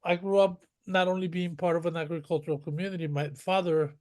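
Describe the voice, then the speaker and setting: A man's voice: a little high, level tone, brisk, darkish tone. Man telling a rambling story. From northern Mexico. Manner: rambling, detailed storytelling with many restarts. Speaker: guest. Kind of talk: conversational interview.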